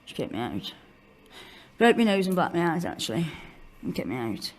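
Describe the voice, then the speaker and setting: A woman's voice: on the low side, sing-song, moderate pace, darkish tone. A woman giving candid, informal testimony in a blunt, colloquial way. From Newcastle-under-Lyme, England. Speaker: interviewee telling own story of homelessness.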